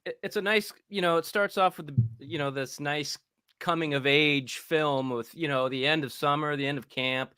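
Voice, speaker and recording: A man giving his opinions in a casual panel discussion, thinking aloud in a halting way. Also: fairly high pitch, fairly even intonation, bright, forceful tone, clear, resonant tone, no room sound. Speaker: panel participant.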